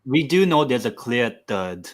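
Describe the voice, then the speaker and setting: A man's voice: mid-range voice, very animated, measured pace, crowd noise. A man chatting casually about football on an online call, chiming in with points. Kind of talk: casual chat.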